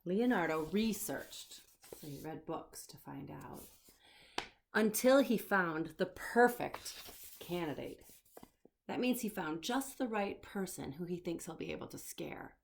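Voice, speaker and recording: A woman's voice: fairly low pitch, expressive, unhurried, gravelly, slight background noise. A woman children's storyteller reading a picture book aloud, expressively, explaining and putting questions to the listener.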